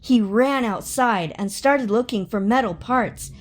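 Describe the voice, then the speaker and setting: Female storybook reader, slightly high voice, very unhurried, dramatic emphasis for young listeners. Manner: dramatic, with drawn-out emphasis and acted sound words. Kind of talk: expressive children's read-aloud narration.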